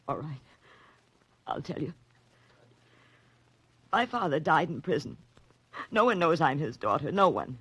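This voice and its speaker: Husky, anguished American woman. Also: sits low, sing-song, a bit bright, no echo, heavily acted. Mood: reluctant, bitter. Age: 30s. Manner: halting, pleading, melodramatic. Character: anguished, secretive 35-year-old daughter of a convict. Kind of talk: 1940s radio acting.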